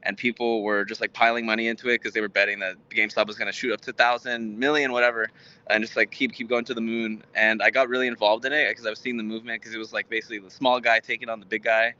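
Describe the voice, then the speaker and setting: Male, American, native Spanish speaker, twenties. Interview guest with a sharp, bright tone and halting delivery, dull lo-fi recording. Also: mid-range voice, flat delivery. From Houston, Texas, United States. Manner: halting, rambling, frequent stammered repeats. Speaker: guest, tech startup founder. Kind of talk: conversational interview answers.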